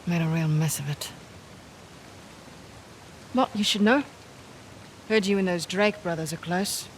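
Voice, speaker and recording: A woman's voice: lowish voice, a little slow, slight background noise, lightly acted. A woman playing a tough, guarded mercenary leader in a video game, speaking tersely and directly. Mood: cool, guarded. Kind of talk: naturalistic video game cutscene acting.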